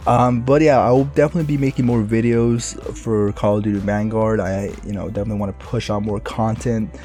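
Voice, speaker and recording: A man with a slightly low, dark, mellow voice that sounds raspy as he recovers from being ill. He rambles through gameplay commentary in a laid-back way, full of "like" and "you know". Faint music plays behind him. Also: fairly even intonation, brisk. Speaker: gaming YouTuber.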